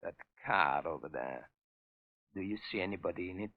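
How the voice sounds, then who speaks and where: A henchman in 1930s radio melodrama. He is formal and uneasy, his pitch swinging widely. The sound is dry and dull. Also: lively intonation, moderate pace, no echo. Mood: wary. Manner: formal, deferential, stilted phrasing. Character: uneasy, deferential foreign male henchman. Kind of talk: 1930s radio drama acting.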